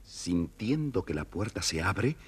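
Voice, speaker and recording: Low-voiced, expressive male storyteller. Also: slow pace, slightly rough. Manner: expressive, addresses young listeners directly. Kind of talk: dramatised scripted narration for children.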